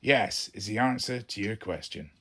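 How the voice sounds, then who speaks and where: A man's voice: lowish voice, expressive, normal speed, bright, forceful tone, voice pushed up. A man voicing a middle-aged, roguish space pirate father with folksy, colloquial talk in a children's audiobook. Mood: resigned.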